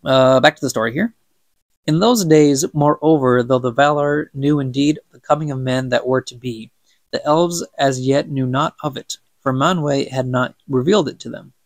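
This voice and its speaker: Young guy with a stuffed up nose reading a book out loud for his podcast, a bit of fry, dead dry sound. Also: mid-range voice, moderate pace, no room sound. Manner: chatty, stumbles and laughs at own slips. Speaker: podcast host reading aloud. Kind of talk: casual book reading with commentary.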